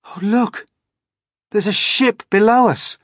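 A man doing an eager excited little boy. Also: high voice, slow, dry recording, studio quality, voice pushed up, exaggerated voice. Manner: eager, exclaiming.

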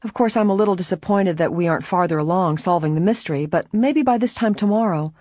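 Narrator doing young girl sleuth in audiobook, eager, earnest, higher pitch, dry sound. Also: fast talker, darkish tone, dry recording, close to an everyday voice. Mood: thoughtful. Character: eager young female sleuth in a mystery story.

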